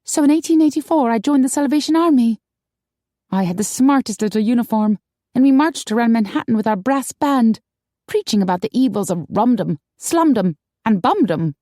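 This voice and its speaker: Audiobook casting: woman performer as sweet, wide-eyed young vampire woman, high girlish voice, emotional, very dry sound. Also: animated, normal speed, warm tone, dry recording. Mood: tipsy, confiding. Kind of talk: audiobook character acting.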